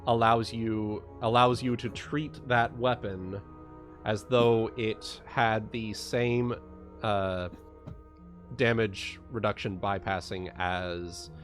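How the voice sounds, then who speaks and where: A man narrating and explaining a tabletop game as game master, in a halting way with repeated phrases. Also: on the low side, flat delivery, faint music behind.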